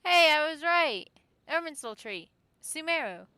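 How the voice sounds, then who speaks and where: A woman's voice: high-pitched, animated, a little slow, no room sound. A woman game streamer giving casual live commentary, half talking to herself in a sing-song way. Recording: loud game music behind.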